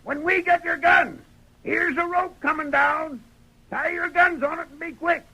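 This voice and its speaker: An actor playing a feisty, bossy old gold prospector with a gravelly Old West American drawl in a 1930s radio western. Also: male voice, very high-pitched, steady tone, unhurried, sharp tone, full character voice, low-quality recording. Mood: shouting threats. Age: older.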